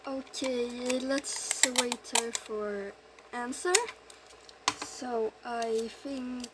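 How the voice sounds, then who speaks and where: A young woman with Swedish as her first language, thinking aloud slowly and hesitantly while commenting on her online game. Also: on the high side, fairly even intonation, heavy background noise. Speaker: gamer recording her screen. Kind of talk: casual gameplay commentary.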